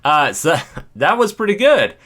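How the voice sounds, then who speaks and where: A man with a deep voice, talking casually and thinking aloud as he walks through an editing tutorial, chuckling now and then. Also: sing-song, unhurried, fairly bright tone. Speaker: video creator.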